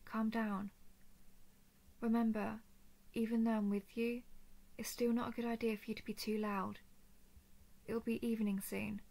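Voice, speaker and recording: A woman voicing a gentle, protective female dragon in a scripted roleplay audio drama, soft and soothing with formal, measured diction. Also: a little high, vocal fry. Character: gentle, protective female dragon, earnest empathic royal daughter.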